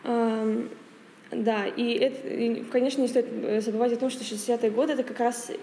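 A woman in her twenties giving an academic presentation in a halting, hesitant way. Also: on the high side, little pitch movement, dark tone. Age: 20s. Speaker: speaker presenting a paper. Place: wood-panelled library meeting room, indoors. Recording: echoey room with long reverb, faint noise.

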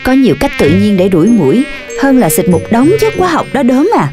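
A woman voicing a gentle, knowledgeable mother in a children's cartoon, explaining things patiently. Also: on the high side, big pitch swings, a little fast. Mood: patient, advising. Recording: music behind the voice.

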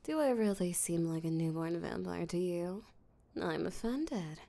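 Slow, creaky, seductive vampire voiced by a woman. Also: fairly low pitch, lively intonation, mellow. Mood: teasing, seductive. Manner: breathy, teasing, flirtatious, slow and deliberate. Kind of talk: intimate roleplay audio drama, spoken to the listener.

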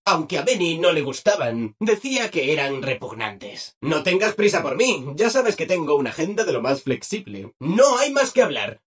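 A man's voice: a little high, a bit bright, slightly rough, exaggerated voice. A male actor with a Castilian Spanish accent playing a relentlessly cheerful, over-obliging robot assistant in a video game, chirpy and eager to please. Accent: Castilian Spanish from Spain. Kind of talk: animated video game character acting.

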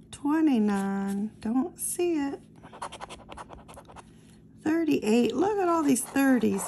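A woman hosting a scratch-off ticket vlog, calmly reading out numbers one by one as she scratches. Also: sits high, vocal fry, a little hiss or hum. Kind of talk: casual talk while scratching lottery tickets.

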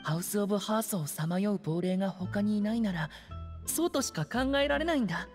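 A woman playing a timid, earnest, soft-spoken teenage boy in an anime-style game, hesitant and subdued, with music behind. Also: medium pitch, expressive, a little fast, lightly acted. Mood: earnest, resolute. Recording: studio voice over game music. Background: background music.

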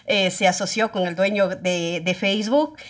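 A young woman with an Ecuadorian Spanish accent, a guest in a studio podcast interview, explaining in an animated, chatty way and checking in with her listener. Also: medium pitch, normal speed, soft background music. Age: young adult. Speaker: guest, TikTok creator. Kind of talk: casual interview talk. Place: small podcast studio, indoors. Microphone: studio condenser mic on stand.

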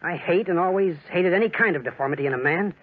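An actor playing a squeamish, jealous young lawyer with a hesitant manner in a 1940s radio drama. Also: male voice, sits high, fast, breathy edge. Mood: confiding. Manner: hesitant, breaking off and restarting. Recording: muffled 1940s radio recording.

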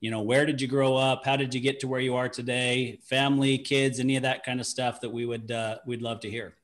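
A man's voice: medium pitch, little pitch movement, a little fast. A man in his forties from Utah, hosting a business interview in a friendly, conversational way over a video call from a home office. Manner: friendly, conversational, fillers like "you know". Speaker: podcast host.

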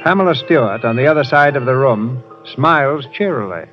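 A man announcing and narrating a 1930s radio drama in a crisp, formal manner. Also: medium pitch, lively intonation, fairly quick. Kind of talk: scripted narration. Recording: dull old radio broadcast with music.

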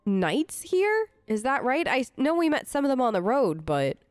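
Woman, let's player, high voice, dry close sound, informal role-play chat. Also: very animated, normal speed, no room sound. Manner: chatty, informal. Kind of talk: role-playing game playthrough, casual in-character dialogue.

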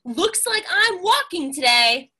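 A woman's voice: extremely high pitch, big pitch swings, slow, bright, forceful tone, pitched above the performer's own voice, exaggerated voice. A woman voicing a grumpy little male bird in a storybook, curt and irritated.

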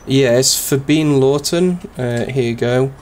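A man with a slightly raspy voice and sore throat, calmly roleplaying a sheriff's deputy in an easygoing, polite way. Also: mid-range voice, measured pace. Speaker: streamer playing a sheriff's deputy. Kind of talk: casual roleplay chat.

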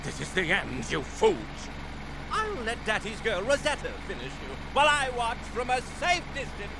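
A man's voice: very high-pitched, huge pitch swings, moderate pace, background noise, heavily acted. A man voicing a gloating, theatrical villain in a video game, mocking in manner.

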